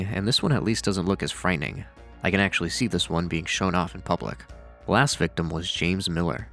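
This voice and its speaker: Low-voiced man narrating, dryly sardonic. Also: fairly bright tone. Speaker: video narrator and reviewer. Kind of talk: scripted horror series commentary with casual asides. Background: faint music.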